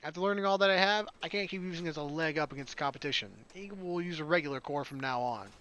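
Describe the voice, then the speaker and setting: A man's voice: fairly high pitch, very fast, pushed and bright, muffled recording. A man hosting a gaming video, reading a visual novel aloud expressively and dropping in casual asides.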